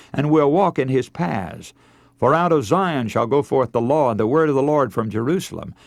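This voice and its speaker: A man's voice: medium pitch, no echo. A middle-aged man preaching a radio sermon in an earnest, emphatic, oratorical manner. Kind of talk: radio sermon with scripture readings.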